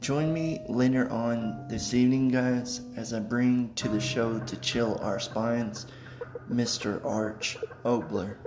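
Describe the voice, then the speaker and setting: A man's voice: mid-range voice, steady tone, slow, reverberant. A man giving a casual podcast outro as host, with guitar music.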